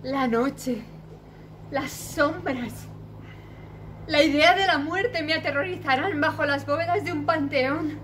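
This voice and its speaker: A woman's voice: high-pitched, slow, fairly bright tone, slight background noise. A woman playing a frightened young noblewoman in a declamatory classical stage monologue, full of dramatic questioning. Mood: terrified. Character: frightened young noblewoman agonizing over dark fears. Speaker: amateur stage actress.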